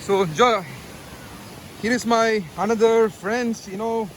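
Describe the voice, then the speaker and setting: A man, chatting excitedly as he hosts a trekking vlog outdoors. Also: high voice, slow, a little hiss or hum. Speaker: vlogger host. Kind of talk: casual trekking vlog chat. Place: outdoors on a forest trail.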